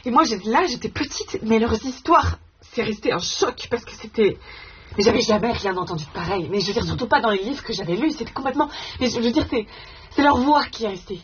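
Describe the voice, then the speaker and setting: A woman's voice: fairly high pitch, lively intonation, fairly quick, highs cut off, a little hiss or hum, close to an everyday voice. An actress playing an earnest woman writer in a naturalistic stage drama, speaking in a hesitant, searching way and breaking off sentences. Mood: earnest, moved. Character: earnest woman writer haunted by village women's stories. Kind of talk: naturalistic stage acting, conversational.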